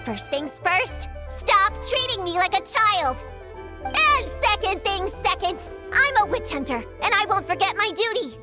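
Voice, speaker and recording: A very high, animated little girl, a proud and feisty witch hunter from a video game. She blusters indignantly over clear background music. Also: unhurried, exaggerated voice. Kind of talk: video game cutscene acting. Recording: music behind the voice.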